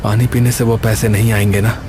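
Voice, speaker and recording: A man voicing an exhausted, worried bus conductor and father in an animated story, pleading in colloquial working-class talk. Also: lowish voice, fairly quick, background noise, pitched below the performer's own voice, close to an everyday voice. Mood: resigned, pained. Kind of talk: animated story character dialogue. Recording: loud music behind the voice.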